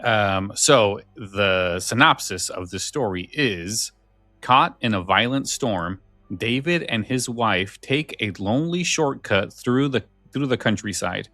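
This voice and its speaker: A man's voice: on the low side, lively intonation, takes it slowly, bright, forceful tone, dry recording, soft background music. A man hosting a podcast in casual conversation about scary stories, often repeating words as he feels his way along. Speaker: podcast host.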